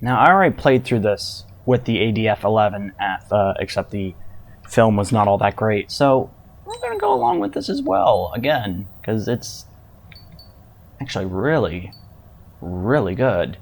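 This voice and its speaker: A man giving casual, halting commentary on his own game playthrough. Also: lowish voice, very animated.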